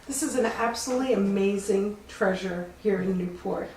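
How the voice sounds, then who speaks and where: A woman's voice: mid-range voice, moderate pace, a little mellow. A woman hosting an arts interview in a studio, speaking to her guest and audience in a gracious, presenter-like way. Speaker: host, interviewer. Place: artist's studio workshop. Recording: echoey, distant, clearly noisy workshop recording.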